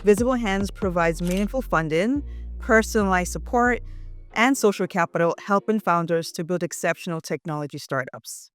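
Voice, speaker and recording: A woman's voice: fairly low pitch, lively intonation, normal speed, over music. A woman hosting an interview, giving introductions and asking questions. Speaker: interview host.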